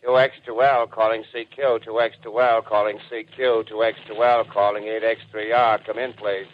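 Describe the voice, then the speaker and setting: An actor playing a lone radio operator calling out in measured, repetitive phrases, in 1930s radio acting style. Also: male voice, fairly low pitch, flat delivery, slight background noise, lightly acted. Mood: lonely, searching. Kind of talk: 1930s radio acting, shortwave call signs. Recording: dull, muffled 1930s radio recording.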